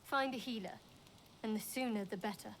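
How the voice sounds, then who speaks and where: A woman voicing a guarded, devout young cleric of a dark goddess in a video game, speaking in a cool, measured manner. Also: mid-range voice, slight background noise, natural voice, low-quality recording. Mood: guarded, wry. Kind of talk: video game dialogue acting.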